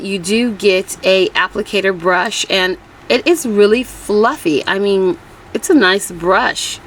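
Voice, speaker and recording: A woman's voice: medium pitch, fairly bright tone. A woman with a slightly scratchy, strained voice, chatting informally as the host of a casual makeup review vlog. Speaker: beauty vlogger host.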